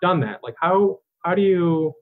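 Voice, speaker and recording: A man's voice: sits high, animated, measured pace. A young man hosting an interview, asking long, rambling questions full of filler words. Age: young adult. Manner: rambling, talkative, heavy use of filler words. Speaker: podcast host. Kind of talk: casual interview. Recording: tinny phone-line sound.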